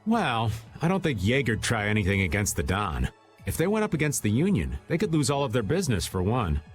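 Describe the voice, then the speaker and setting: A man's voice: medium pitch, wildly swooping pitch, fairly quick. An actor playing a laid-back, wily older man in a video game, talking in a casual, folksy, theatrical way. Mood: sheepish, casual. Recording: music under the voice. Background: game background music.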